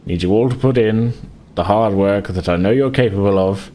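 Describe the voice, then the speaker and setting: A man's voice: fairly low pitch, normal speed, dark tone, a little hiss or hum, natural voice. A man playing a moralizing school headmaster addressing an assembly in a tabletop game. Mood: stern, exhorting. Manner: moralizing, exhorting.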